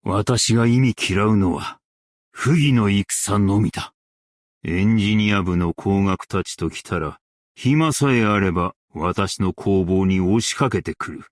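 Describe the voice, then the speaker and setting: A man playing a stern, dignified old-world master craftsman and warrior in a game, speaking in archaic, formal, measured Japanese diction with weighty delivery. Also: on the low side, dry recording, studio quality, natural voice. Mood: grave, measured, then disapproving. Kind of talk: Japanese game character voice lines.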